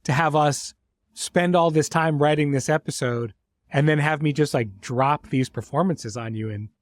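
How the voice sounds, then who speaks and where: A man's voice: a little high, moderate pace, no room sound. Man hosting a reflective interview, dry close sound. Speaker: host, series creator.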